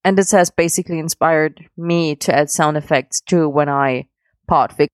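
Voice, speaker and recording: A woman's voice: deep voice, steady tone, normal speed, no echo, studio quality. A woman giving casual spoken comments as a podcast contributor.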